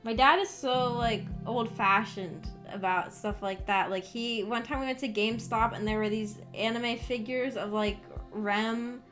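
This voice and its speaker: A woman's voice: fairly high pitch, unhurried, few pauses, a bit bright. A young woman chatting casually as a VTuber on a livestream, rambling in a giggly, hesitant way. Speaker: VTuber streamer. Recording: background music behind voice. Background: music.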